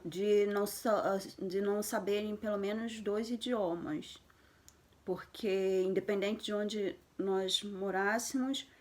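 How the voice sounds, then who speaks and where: A woman's voice: medium pitch, a little mellow. A Brazilian woman in her thirties, a mother of twins, talking casually to camera in a conversational way, with restarts and tag questions. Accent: Brazilian Portuguese.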